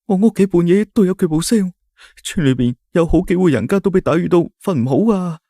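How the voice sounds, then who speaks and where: Young villager in a panic, pitched high and urgent; Cantonese accent; a man doing the voice. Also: expressive, dark tone, dry recording, studio quality. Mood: panicked. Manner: anxious, rushed.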